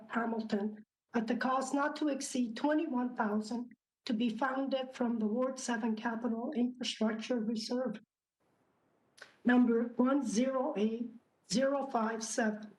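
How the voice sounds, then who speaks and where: A woman councillor, losing her voice from a cough, reads a motion haltingly with many fillers and clears her throat. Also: fairly high pitch, low-quality recording. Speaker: city councillor. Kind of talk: reading a motion at a council meeting.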